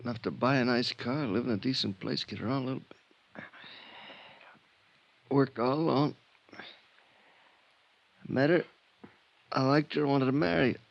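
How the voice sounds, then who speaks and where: Guy acting a bitter dying crook, gravelly and halting, old radio crime show, muffled sound. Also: mid-range voice, lightly acted. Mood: remorseful, wistful. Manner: halting, clipped sentences with bitter laughs. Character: cornered dying burglar and killer, bitter and defiant. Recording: muffled vintage radio recording.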